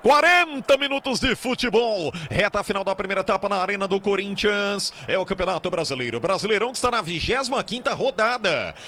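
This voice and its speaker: A man doing excitable live radio football commentary, stretching out key words. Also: high-pitched, fairly quick. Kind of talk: live match commentary with sponsor reads.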